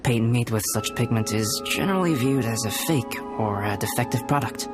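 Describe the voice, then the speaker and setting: Man as young scholarly game alchemist: mid-pitched, composed, formal and precise, with clear music underneath. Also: lively intonation, moderate pace, lightly acted. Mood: explanatory. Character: calm, cerebral young male alchemist and painter. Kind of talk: video game character acting. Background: background music.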